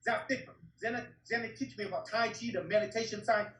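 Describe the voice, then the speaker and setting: A man's voice: high-pitched, steady tone, fast, a bit bright, faint music behind. Blunt, profane man. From Vietnam. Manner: blunt, swears often, broken English. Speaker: martial arts teacher. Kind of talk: interview storytelling. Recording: roomy echo, distant mic.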